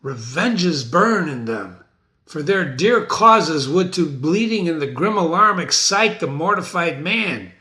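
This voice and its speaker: A man's voice: fairly high pitch, lively intonation, slow, bright, forceful tone, pitched above the performer's own voice, exaggerated voice. A man voicing vengeful rebel noblemen rallying before battle, declaiming in a dramatic reading of a stage tragedy. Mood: urgent, martial.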